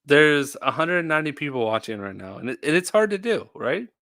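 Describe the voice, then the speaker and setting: A man's voice: on the high side, animated, a little fast, fairly bright tone, no room sound, clean studio sound. A man hosting a live stream, chatting casually with a guest in a playful, encouraging way. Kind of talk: casual chat.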